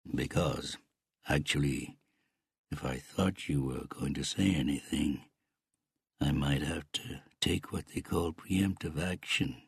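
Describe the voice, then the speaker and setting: A man reading an audiobook as a controlled, quietly threatening middle-aged uncle with a Canadian accent. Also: extremely low pitch, takes it slowly, vocal fry, slightly rough, no room sound, studio quality, exaggerated voice. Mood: menacing.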